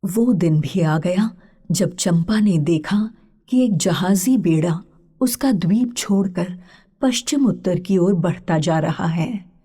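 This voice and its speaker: Story narrator: woman with a dark, breathy voice, measured and unhurried literary reading. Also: on the low side.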